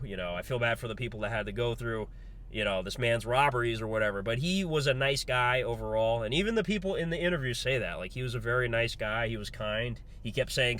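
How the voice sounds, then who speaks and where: A congested man, under the weather, giving a relaxed, rambling movie review full of fillers. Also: mid-range voice. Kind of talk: casual spoken movie review.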